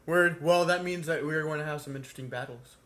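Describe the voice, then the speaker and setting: A man's voice: on the high side, fast talker, bright, forceful tone. A young American man co-hosting a casual comedic chat, rambling and playfully teasing. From United States. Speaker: co-host.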